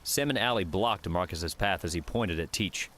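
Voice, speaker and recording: A man's voice: low voice, normal speed, fairly bright tone. A man reading scripted fiction as an audiobook narrator.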